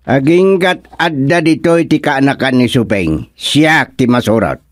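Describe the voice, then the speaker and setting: A man's voice: mid-range voice, animated, unhurried, no room sound, clean studio sound, lightly acted. A man acting in a comedic radio drama as a fussy, blustering older uncle who owns a farm, exclaiming and repeating his words. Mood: stern.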